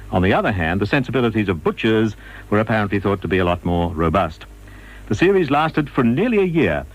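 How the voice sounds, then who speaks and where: A man's voice: on the low side, poor audio. A man giving scripted documentary narration.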